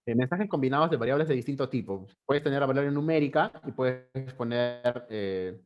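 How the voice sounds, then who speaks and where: A Peruvian-accented man leads a live online coding class through a video call. His pitch sits in a middle range for a man, and he explains things patiently while sprinkling in tag questions and hesitations. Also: animated, lo-fi sound. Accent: Peruvian Spanish. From Peru. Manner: unhurried, ends phrases with tag questions, frequent fillers, occasional throat clearing. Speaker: programming instructor.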